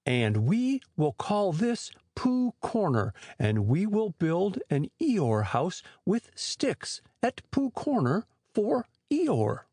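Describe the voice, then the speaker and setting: A woman voicing a slow-witted, kindly, earnest toy bear in a children's storybook reading. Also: fairly low pitch, big pitch swings, dark tone, slightly rough, no echo, clean studio sound, heavily acted. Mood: thoughtful, earnest. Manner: ponderous, earnest.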